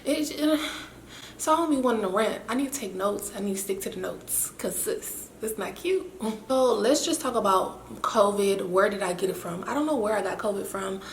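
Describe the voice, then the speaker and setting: A young woman with a raspy, winded voice, chatting in a rambling, self-mocking way to her camera. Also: mid-range voice, expressive, normal speed. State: winded and hoarse after illness. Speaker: vlogger. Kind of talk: casual chat to camera. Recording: echoey room with faint hiss.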